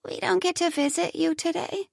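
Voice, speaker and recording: A woman voicing two eager young sisters in an audiobook, pitching her voice up high and bright. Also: expressive, a little slow, dry recording, clean studio sound. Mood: excited.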